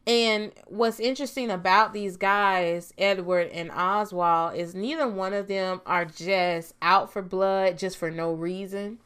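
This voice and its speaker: A woman reviewing a TV episode in a casual, chatty vlog, her voice a bit raspy as she gets over a cold, laughing often. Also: mid-range voice, steady tone, unhurried, hardly any pauses, fairly bright tone. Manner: chatty, laughs often, trails off with "I'm just saying". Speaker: TV review vlogger.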